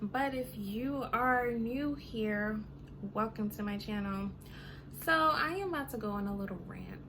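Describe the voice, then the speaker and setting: A woman in her thirties chats to her vlog audience in a loose, rambling way, full of "you know" and "um". Her voice sits on the higher side. A clear hum of background noise runs behind her. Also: a little slow. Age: 30s. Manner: chatty, many fillers like "you know" and "um". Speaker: vlogger. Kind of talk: casual rant to camera.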